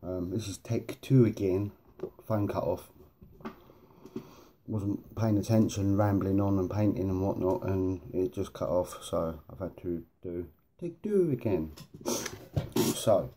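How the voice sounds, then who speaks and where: A man with a very low, dark voice hosts a hobby vlog. He rambles along slowly in informal, matey slang. His nose sounds sniffly and a little blocked. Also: slight background noise. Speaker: host of a hobby video series.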